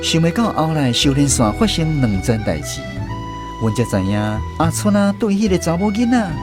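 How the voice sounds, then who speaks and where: Animated, high-voiced male storyteller. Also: normal speed. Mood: wry. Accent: Taiwanese. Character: male storyteller wryly recalling his army days. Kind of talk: radio drama narration. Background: music.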